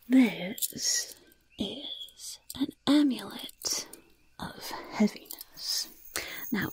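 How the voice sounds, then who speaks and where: A woman voicing a mischievous goblin shopkeeper in a whispered ASMR roleplay, with sly, gleefully polite sales patter in a breathy, gravelly voice. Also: mid-range voice, full character voice. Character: mischievous goblin shopkeeper selling poisons and cursed items.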